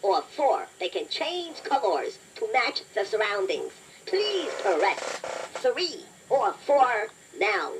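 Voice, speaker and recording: High, animated toy robot quiz host. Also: female voice. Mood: encouraging. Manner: encouraging, playful, deliberate, addresses listener directly. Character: chatty, playful, self-deprecating toy robot quiz host. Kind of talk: scripted children's quiz narration. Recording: noisy toy cassette playback, distant from mic.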